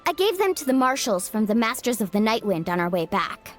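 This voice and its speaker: A woman voicing an eager, excitable young girl adventurer in a video game. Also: fairly high pitch, fairly quick, faint music behind. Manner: bubbly and excitable.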